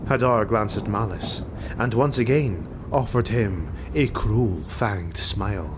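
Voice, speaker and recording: A man's voice: fairly low pitch, slow pace. A man narrating a fantasy audiobook in a dramatic, scripted storytelling style. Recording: noisy home recording.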